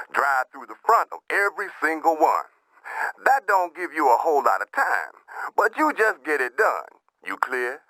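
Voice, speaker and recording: A man's voice: fairly high pitch, sharp tone, no echo, poor audio. A man playing a streetwise mob boss in a video game, giving clipped orders in slangy wiseguy talk. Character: streetwise mobster boss handing out driving jobs. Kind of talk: video game cutscene acting.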